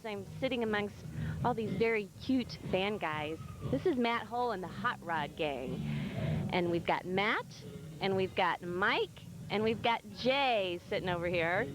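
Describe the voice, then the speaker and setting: A woman's voice: on the high side, background noise. A woman hosting a local TV show on location, chatty, playful and teasing her guests. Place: busy downtown bar with live music. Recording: loud bar music behind.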